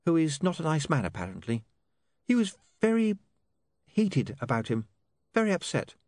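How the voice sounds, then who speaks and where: A man plays a concerned young woman in a storybook. She is polite, hesitant and full of questions, with a high, swooping voice. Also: huge pitch swings, moderate pace, darkish tone, dry recording, clean studio sound, full character voice. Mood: taken aback.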